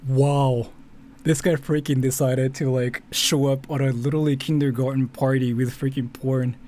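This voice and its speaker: A man's voice: mid-range voice, flat delivery, moderate pace, dark tone. A man giving casual, rambling, sweary reaction commentary, often repeating himself. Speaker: commentary streamer. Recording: faint background noise.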